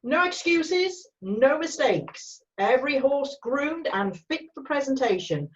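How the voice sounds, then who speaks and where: A woman playing a confident, brisk male cavalry major in an amateur screenplay read-through. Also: high-pitched, sing-song, dull audio. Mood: commanding.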